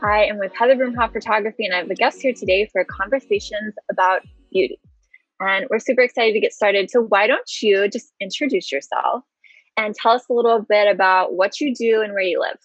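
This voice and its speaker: A woman's voice: mid-range voice, brisk, no echo, faint music behind. Enthusiastic woman host on a dull line. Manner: enthusiastic, frequent exclamations. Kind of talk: casual interview chat.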